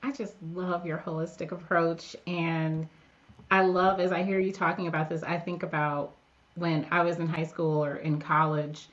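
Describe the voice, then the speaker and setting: A woman in her forties who hosts a podcast and chats with her guest in a warm, affirming way, often murmuring agreement. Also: fairly low pitch, level tone, moderate pace. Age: 40s. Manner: affirming, frequent backchannel agreement. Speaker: podcast host. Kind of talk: conversational podcast interview. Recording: slightly dull lo-fi sound.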